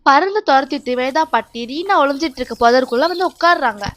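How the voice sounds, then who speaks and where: A woman with a high voice narrates a children's cartoon story. Her delivery is scripted storytelling, aimed at young listeners. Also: lively intonation, quick pace, fairly bright tone.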